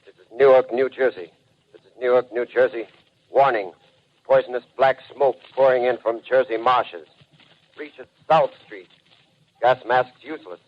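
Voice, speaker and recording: An urgent official announcer in a 1930s radio play , played by a man. His medium-pitched voice delivers warnings in clipped, telegraphic bursts. The old recording sounds dull. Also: normal speed, lightly acted. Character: urgent male emergency announcer broadcasting an evacuation warning.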